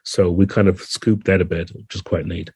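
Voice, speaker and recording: Low, husky, mellow man explaining. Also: flat delivery, normal speed, no echo. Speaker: podcast guest, game designer. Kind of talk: conversational interview explanation.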